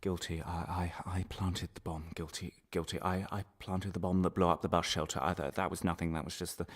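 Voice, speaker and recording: Man playing stammering, desperate low-voiced prisoner. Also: little pitch movement, brisk, slight background noise, lightly acted. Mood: faltering. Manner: stammering, repetitive, agitated. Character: desperate broken male prisoner forcing out a false confession. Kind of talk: one-man radio drama monologue.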